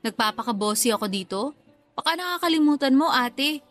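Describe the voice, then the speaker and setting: Radio drama role: confrontational younger sister, blunt, protective, slightly high voice. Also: female voice, animated, fairly quick, a bit bright, faint music behind. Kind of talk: Tagalog radio drama acting.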